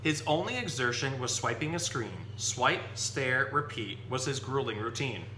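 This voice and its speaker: A man with a very bright, sharp voice presents animals and storybooks in an informal, explanatory way. The recording is roomy and echoey, with faint hum and noise behind him. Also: mid-range voice, lively intonation, moderate pace. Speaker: zookeeper presenting animals and reading stories to children. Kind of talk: informal animal lesson and picture-book read-aloud.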